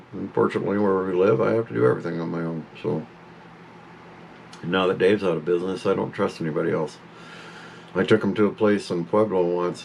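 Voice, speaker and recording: A man in his fifties chats at home about his parrot. His voice sits very low with a gravelly fry, and his talk wanders and breaks off to scold the bird. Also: a little mellow, slight background noise, rough recording. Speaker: pet owner vlogger. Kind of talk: casual talk to camera.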